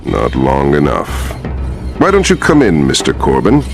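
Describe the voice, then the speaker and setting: A man's voice: extremely low pitch, huge pitch swings, normal speed, creaky, music in the background, full character voice. A man playing a cold, haughty corporate villain in a video game, speaking formally with dry contempt. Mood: dry, unruffled.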